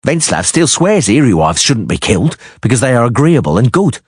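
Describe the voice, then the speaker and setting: A man's voice: medium pitch, sing-song, a little fast, husky, no room sound, studio quality, pitched above the performer's own voice. A man voicing a crude, mocking mercenary who speaks with a sneer, in an audiobook reading. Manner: mocking, foul-smiling.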